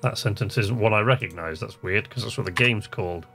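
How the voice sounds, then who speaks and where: A man with a fairly low voice chats casually as he plays a game. He muses to himself and reads text aloud in an easily amused manner, with music playing clearly behind him. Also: a little fast, a bit bright. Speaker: gamer, video host. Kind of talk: casual gameplay commentary, reading text aloud.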